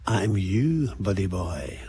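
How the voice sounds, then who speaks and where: A man's voice: sits low, big pitch swings, slow, dark tone, a little hiss or hum, full character voice. An actor playing a sardonic, cocky older Scottish man who is someone's unconscious mind, bantering sarcastically in a radio drama.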